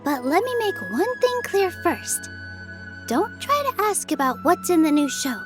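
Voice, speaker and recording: A woman's voice: very high voice, big pitch swings, unhurried, full character voice. A young woman voicing a kind, polite female dancer in a video game, over game music. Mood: earnest. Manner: gentle, polite, giggly.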